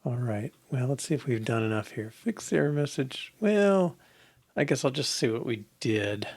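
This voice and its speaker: A man's voice: medium pitch, expressive, moderate pace, vocal fry, darkish tone, dry recording. Older man muttering over live coding. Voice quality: voice a bit off, slightly strained. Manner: muttering half-whispered asides while coding. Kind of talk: casual live coding commentary. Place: small home office, indoors.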